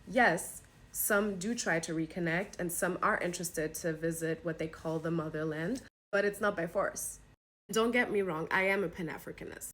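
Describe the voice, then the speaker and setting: A woman's voice: on the low side, normal speed, a bit bright. A woman from Germany giving an earnest opinion talk to camera as a podcast host, speaking in an emphatic, pleading way.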